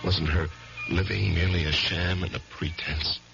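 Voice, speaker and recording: An actor playing a weary, guilt-torn husband in a brooding, hushed 1970s radio mystery style. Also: male voice, deep voice, steady tone, moderate pace, creaky. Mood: brooding, tormented. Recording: dull old radio recording with music.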